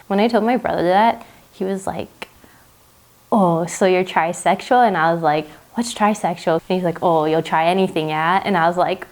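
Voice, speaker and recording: A young woman with a somewhat low-pitched voice talks casually and chattily. She sounds a little self-conscious about being on camera. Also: moderate pace. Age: young adult. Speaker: college student. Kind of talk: documentary interview.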